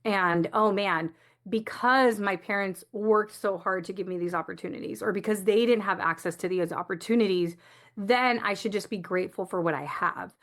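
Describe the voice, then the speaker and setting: A woman's voice: mid-range voice, moderate pace, a bit bright. A woman from the United States speaking as an interview guest, explaining things reflectively in a conversational way. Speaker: guest, coach for first-generation community.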